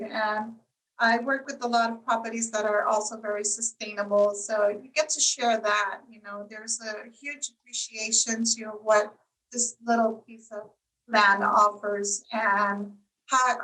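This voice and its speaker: Chatty, bright-voiced woman guest. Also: fairly high pitch, recorded from a distance, poor audio. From Costa Rica. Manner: chatty, many "you know" fillers. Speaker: tourism marketing agency owner, guest. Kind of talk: casual interview answers.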